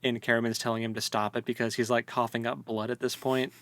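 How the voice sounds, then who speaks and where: A man's voice: medium pitch, little pitch movement, fast, a bit bright. A man co-hosting a podcast, retelling a story in casual, slangy, jokey conversation. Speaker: podcast co-host. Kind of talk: casual book discussion chat.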